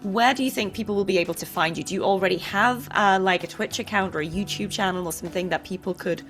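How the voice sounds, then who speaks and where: A woman's voice: mid-range voice, quick pace, fairly bright tone, soft background music. A woman hosting a casual interview, chatting informally as she asks her questions. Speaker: interview show host.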